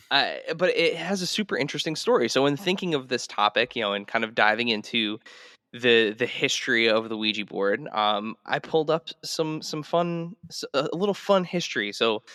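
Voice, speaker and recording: Male podcast host, conversational and meandering, doubling back on words, mid-pitched, very dry sound. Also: animated, normal speed, sharp tone, no echo. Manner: rambling, hesitant phrasing with repeated words. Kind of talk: casual podcast chat.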